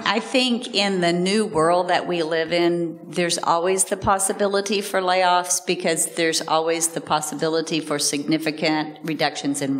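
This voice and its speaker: Low-voiced woman, measured and hesitant. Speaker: university administrator answering staff questions. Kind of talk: open forum answers.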